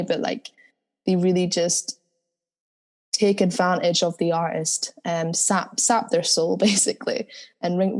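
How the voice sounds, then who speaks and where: Woman, deep soulful voice, low-pitched, mellow tone, earnest, reflective interview talk. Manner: earnest, spiritual. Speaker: guest singer.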